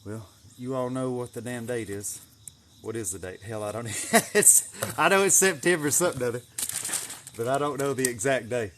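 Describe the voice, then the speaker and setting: A man's voice: medium pitch, normal speed, fairly bright tone, a little hiss or hum. A man chatting casually on an outdoor livestream as he gives a garden tour, with a folksy, rambling manner and some mild swearing. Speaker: livestream host showing his greenhouse garden.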